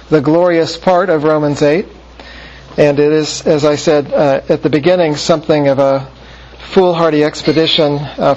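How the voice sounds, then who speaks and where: A man teaching a Bible class, reading scripture in a measured way and then lecturing with many fillers and restarts. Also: fairly high pitch, a little mellow, highs cut off, slight background noise.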